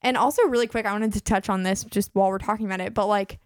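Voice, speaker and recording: A woman's voice: mid-range voice, fairly even intonation, rapid-fire, smooth, dry recording. Female host, 20s, chatty and casual, voice fraying, dry room. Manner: chatty, peppers speech with "like". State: losing her voice. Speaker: podcast host. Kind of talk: casual true-crime podcast chat.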